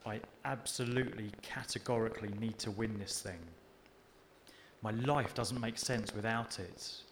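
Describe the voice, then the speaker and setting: A man's voice: lowish voice, steady tone, unhurried, a little hiss or hum, lightly acted. A man voicing a puzzle-obsessed teenage girl who speaks earnestly and with emphasis. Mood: determined.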